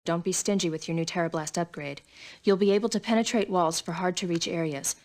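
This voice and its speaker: A woman's voice: lowish voice, fairly quick, a little mellow, lightly acted. A woman playing a composed, authoritative military commander in a video game, giving businesslike briefings. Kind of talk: video game cutscene acting.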